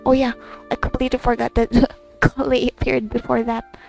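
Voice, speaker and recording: Girl gamer rambling through a story recap, halting, lots of fry, bit of music behind her. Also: a little high, flat delivery, darkish tone. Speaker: gamer recording her playthrough. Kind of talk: casual rambling recap over gameplay.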